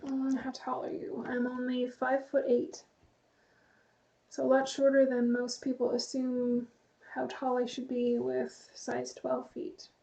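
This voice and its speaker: High, gravelly, flat-toned woman streamer. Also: unhurried, lo-fi sound. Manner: relaxed, rambling, chatty with light laughs. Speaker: live streamer. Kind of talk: casual chat to viewers, reading chat aloud.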